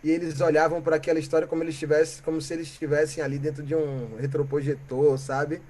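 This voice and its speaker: Animated Brazilian man, slightly high voice. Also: fast talker. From Bahia, Brazil. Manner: animated, trails into "né?" and "sabe?". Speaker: musician guest. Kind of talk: reflective conversational storytelling.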